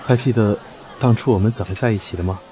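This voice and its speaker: A man's voice: on the low side, fast, close to an everyday voice. A man playing a proud, prickly young office worker who is evasive about love, in an amateur audio drama. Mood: fond, nostalgic. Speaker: amateur voice actor. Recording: loud music behind the voice.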